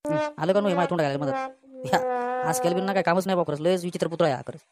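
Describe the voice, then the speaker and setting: A woman voicing a brisk, insistent male village Patil in a comic cartoon, with a folksy Varhadi Marathi accent. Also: on the low side, lively intonation, a loud crowd, natural voice. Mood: exasperated, insistent. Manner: insistent, folksy, dismissive of worries.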